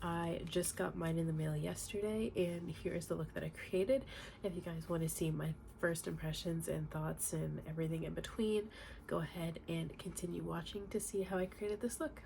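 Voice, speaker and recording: A woman's voice: fairly low pitch, normal speed, creaky, a little hiss or hum. A young woman with a congested, nasal voice from a cold, chatting casually in a makeup tutorial at home. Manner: chatty, relaxed, many fillers. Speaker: beauty vlogger. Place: home, indoors.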